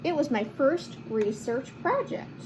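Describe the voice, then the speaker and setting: A woman reading a picture book aloud to children in an expressive, animated manner, with chatty asides and simple explanations. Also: high-pitched, a little slow, background noise. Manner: animated, acts out lines and explains words to children. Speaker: children's read-aloud host.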